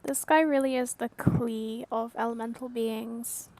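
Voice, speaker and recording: A woman's voice: a little high, steady tone, unhurried, a little mellow, slight background noise. A woman game streamer giving casual commentary as she plays. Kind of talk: casual gameplay commentary, reading along.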